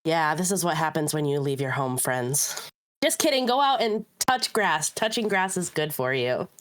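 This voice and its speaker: A woman co-hosting a casual podcast, lower-pitched than most women. Her voice is slightly hoarse from being sick, but she stays chatty and upbeat. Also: animated, moderate pace. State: slightly rough, hoarse voice from recent illness. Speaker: podcast co-host. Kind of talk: casual chat.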